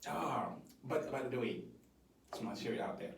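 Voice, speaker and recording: A man's voice: mid-range voice, lively intonation, moderate pace, gravelly. A man in casual conversation, explaining his ideas in an earnest way. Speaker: interviewer and creator of a brand project. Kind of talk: casual chat. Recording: roomy, distant mic, faint background noise.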